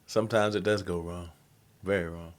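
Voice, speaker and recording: A man's voice: low-pitched, steady tone, moderate pace. A man giving casual, slangy reaction commentary as the host of the video. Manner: colloquial, slangy.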